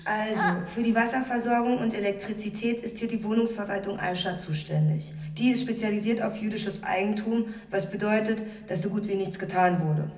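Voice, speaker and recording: Woman acting commanding squatter, mid-pitched, echoey room, deliberate instruction, secretive whispers, radio play. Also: a little mellow, far from the mic, lightly acted. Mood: instructive, resolute. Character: Ragnar, commanding, conspiratorial female squatter and midwife of houses. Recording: echoey room, music underneath.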